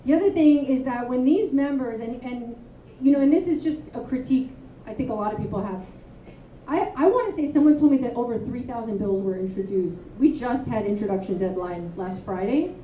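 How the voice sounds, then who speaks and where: A woman author and advocate speaking candidly at a live panel, telling stories with quick asides. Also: fairly high pitch, slight background noise. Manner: candid, storytelling, animated asides. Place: live event venue, indoors. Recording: roomy, distant, dull live-room sound.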